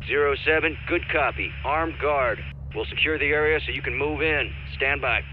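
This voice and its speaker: An actor playing a confident American mercenary commander, giving terse military orders in a game. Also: male voice, on the high side, normal speed, narrow, tinny audio, slight background noise, close to an everyday voice. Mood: focused, commanding. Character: confident American male mercenary commander directing air support. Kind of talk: military game radio dialogue.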